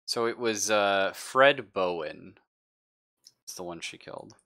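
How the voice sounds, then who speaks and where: Male game master, low and forceful voice, improvising haltingly at the table. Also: expressive. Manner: halting, thinking aloud.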